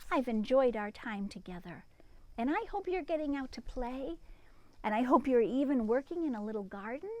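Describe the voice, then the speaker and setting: A woman reading a storybook aloud to young children, expressively, with dramatic pauses and little exclamations and asides to her listeners. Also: a little high, darkish tone. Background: music and sound effects.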